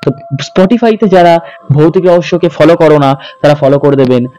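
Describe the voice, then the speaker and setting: A man's voice: a little high, moderate pace, mellow. A man narrating in a dramatic, suspenseful ghost-story style as a channel host. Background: background music.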